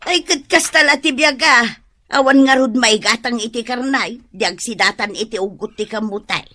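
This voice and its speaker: A woman's voice: a little high, moderate pace, pushed and bright. A woman acting a nagging, fed-up wife with an Ilocano accent, complaining and berating in a radio drama. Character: nagging, fed-up wife demanding money for meat.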